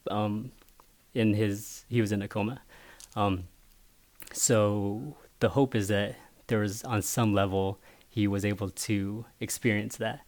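Soft-spoken man, halting and thoughtful. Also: on the low side, steady tone. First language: Vietnamese. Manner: halting, thoughtful, many restarts. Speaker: picture book author. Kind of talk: casual interview talk.

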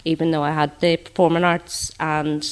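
Northern irish woman chatting on a radio interview, bit of a low voice, casual. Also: little pitch movement, moderate pace, a crowd in the background. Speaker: amateur drama group member, radio guest.

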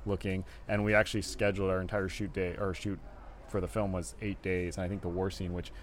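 Director guy talking fast and loose in an interview, monotone, lots of "you know". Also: deep voice, a little hiss or hum. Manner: relaxed, rambling with many fillers. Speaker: film director and writer. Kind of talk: casual interview answers. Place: live at a film festival venue.

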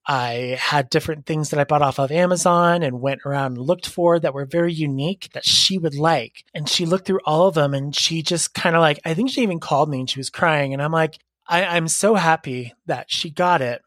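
A podcast host with a raspy voice, telling a heartfelt story and giving advice in a casual, rambling way. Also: medium pitch, brisk, fairly bright tone, no room sound, studio quality. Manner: earnest, heartfelt, rambling with fillers. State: raspy voice today.

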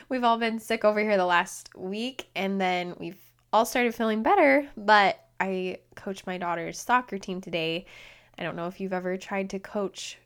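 Woman host, thirties, a little hoarse, earnest and encouraging, mid-pitched. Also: unhurried. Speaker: podcast host. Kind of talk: solo podcast talk.

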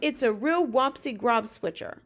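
An excitable giant from a children's storybook, acted out by a woman. The voice is pitched high and full of drama. He exclaims in tumbling, ungrammatical folksy talk. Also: measured pace. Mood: exasperated, dramatic. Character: excitable big-eared male giant, dream catcher, dramatic.

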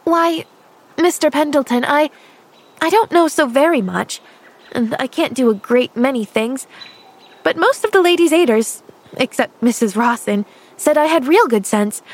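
An earnest little girl chatters eagerly, her voice lifting high with excitement, as a woman reader gives her voice. She sounds sincere and a touch breathless. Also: moderate pace.

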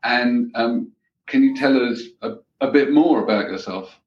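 Guy hosting an interview, lowish voice, bit muffled and echoey, keeps restarting sentences. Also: a little slow. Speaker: host. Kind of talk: interview host.

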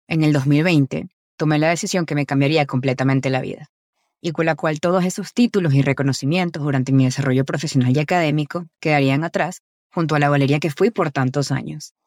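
A woman's voice: low voice, a little mellow, no echo. Venezuelan woman narrating, dry close sound. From Caracas, Venezuela. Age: 20s. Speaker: podcast host. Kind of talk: scripted personal podcast narration.

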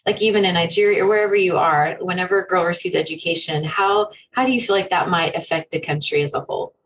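A woman interviews her guest, asking questions in an enthusiastic, affirming way. Her voice sits slightly low. A faint noise runs under the recording. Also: fast, fairly bright tone. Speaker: interviewer host.

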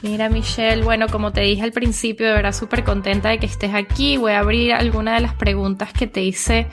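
A woman hosting a podcast. She talks in a chatty, upbeat way and repeats words for emphasis, both in her intro and while interviewing. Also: a little high. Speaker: podcast host.

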